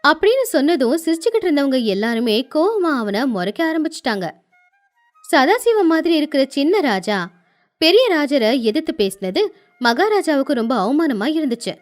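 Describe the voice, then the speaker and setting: High, lively woman storyteller. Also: normal speed, faint music behind. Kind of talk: animated moral story narration.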